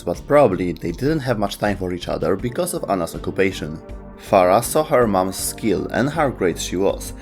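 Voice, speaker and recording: A man with a fairly low voice delivers scripted narration for a gaming video. He speaks as the host and narrator, with faint music running underneath. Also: a little mellow. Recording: music behind the voice. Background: background music.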